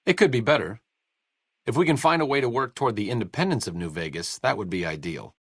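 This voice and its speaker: A wry, low-voiced bookish doctor. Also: male voice, lively intonation, quick pace, a bit bright, breathy edge, no echo. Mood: measured. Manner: sardonic, erudite, dry understatement. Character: wry, bookish, self-deprecating male doctor and researcher. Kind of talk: video game character dialogue.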